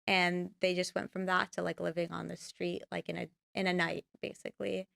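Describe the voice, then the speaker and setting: A woman's voice: fairly low pitch, little pitch movement, normal speed, creaky, a bit bright, no echo, clean studio sound. A young woman, a filmmaker guest, talking casually in a podcast interview and rambling with many fillers. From United States. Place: podcast studio.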